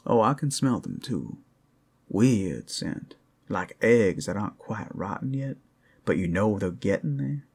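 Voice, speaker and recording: A man playing a flirtatious, rowdy but friendly werewolf in an ASMR roleplay, with a gravelly, husky voice and a folksy, teasing drawl. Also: on the low side, big pitch swings, a little slow, darkish tone, exaggerated voice. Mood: hushed, wary.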